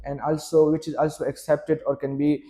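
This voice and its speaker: A man's voice: a little high, level tone, fairly quick, dark tone. A young man whose first language is Pashto, answering questions in a mock speaking exam with many fillers and restarts. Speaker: test candidate.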